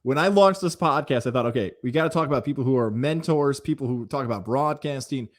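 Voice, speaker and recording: A man's voice: medium pitch, fast talker. A middle-aged American man hosting an interview podcast in a chatty, effusive, conversational way. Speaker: podcast host, sports broadcaster.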